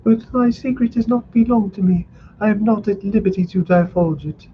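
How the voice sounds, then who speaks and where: Man in high falsetto, anxious young woman, earnest, storybook reading, muffled audio. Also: normal speed, a little hiss or hum, close to an everyday voice.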